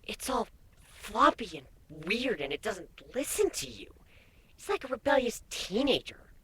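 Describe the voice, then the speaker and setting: Woman voicing female changeling newlywed, cartoon pony fan fiction, breathy husky voice, animated intonation, playful, affectionate, casual. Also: fairly high pitch, a little slow, heavily acted. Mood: candid, awkward. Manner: teasing, casual, hesitant in places. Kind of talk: dramatic reading of fan fiction.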